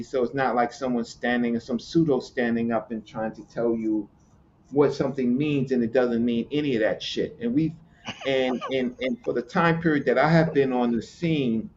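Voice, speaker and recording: A man from Virginia, explaining hieroglyphs in casual chat, halting, with many fillers and repeated words. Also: mid-range voice, fairly even intonation, a little mellow, slight background noise. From Newport News, Virginia, USA. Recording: muffled lo-fi audio with faint ambience.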